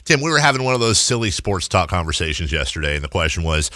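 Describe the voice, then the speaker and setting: A man's voice: low-pitched, sing-song, fast talker, pushed and bright, no echo, studio quality. A man hosting a sports talk radio show and interviewing a guest.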